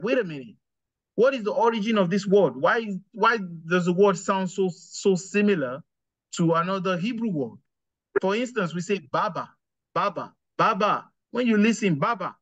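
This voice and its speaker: Host, 40s, thoughtful and halting, slightly high voice, dull webcam sound. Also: male voice, a bit bright, no room sound. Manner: thoughtful, many fillers and restarts, gestures while talking. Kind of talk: casual video-call discussion. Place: small room with curtains, indoors. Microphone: webcam on video call. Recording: dull, lo-fi video-call audio.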